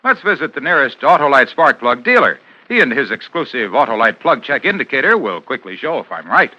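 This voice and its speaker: An actor playing a chipper, salesy doctor in a 1950s radio commercial, with punchy, emphatic patter. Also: male voice, medium pitch, very animated, a little fast, pushed and bright, heavily acted. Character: chipper, salesy doctor character, an advertising pitchman. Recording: dull 1950s radio recording.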